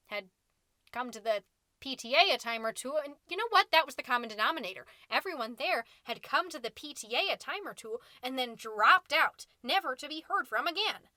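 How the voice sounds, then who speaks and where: A woman's voice: a little high, pushed and bright, dry recording, clean studio sound. A woman playing a catty, indignant mom who hosts a podcast, delivering a sarcastic, melodramatic comedic monologue. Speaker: podcast host.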